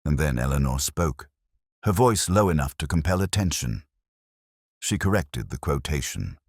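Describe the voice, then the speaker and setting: A man narrating a literary mystery in the first person, in a measured, melancholy and solemn way. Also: very deep voice, expressive, a little mellow, husky, no room sound, clean studio sound.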